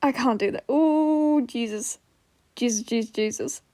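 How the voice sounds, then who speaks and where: A woman's voice: a little high, unhurried, mellow, no room sound. A woman podfic narrator chatting casually to her listeners in an informal, giggly, self-deprecating way.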